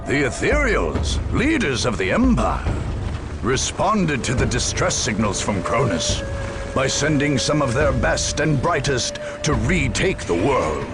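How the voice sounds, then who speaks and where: An actor playing a grave chronicler of grim far-future wars, narrating a game cutscene in a solemn, measured, portentous way. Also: male voice, mid-range voice, big pitch swings. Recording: music behind the voice.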